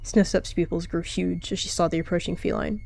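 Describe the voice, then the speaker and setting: A young woman narrating a cat roleplay story in a soft, earnest storytelling manner. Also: on the low side, steady tone, fairly quick, gravelly.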